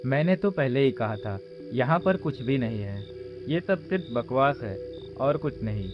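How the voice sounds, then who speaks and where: A man's voice: mid-range voice, animated, moderate pace, highs cut off, music in the background, close to an everyday voice. A man voicing an uneasy young villager trying to stay brave in horror cartoon dialogue, questioning as he goes. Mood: uneasy, challenging.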